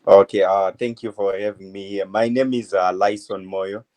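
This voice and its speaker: A man in his twenties from Zimbabwe, a medical student answering interview questions in a halting way, full of uh fillers. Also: on the low side, steady tone, a little mellow. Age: 20s. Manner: hesitant, many uh fillers, halting phrases. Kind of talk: phone interview.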